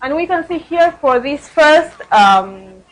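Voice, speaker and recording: A woman's voice: high-pitched, expressive, takes it slowly, rough recording. A woman researcher presenting study results at a conference, speaking hesitantly and often repeating words.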